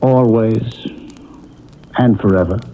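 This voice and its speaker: A man's voice: fairly low pitch, slow pace, dark tone. An actor playing a sincere, plainspoken, devoted husband who tells his own story in a radio drama. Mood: loving, relieved. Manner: earnest, plainspoken. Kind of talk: 1970s radio drama acting and narration. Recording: muffled vintage radio broadcast.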